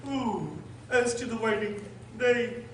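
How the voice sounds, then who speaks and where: A man playing a sad old Mock Turtle in a theatrical, drawn-out manner for a radio drama. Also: high-pitched. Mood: earnest, hesitant. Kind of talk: stage-style radio drama acting. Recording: very echoey room, distant mic, hissy noise.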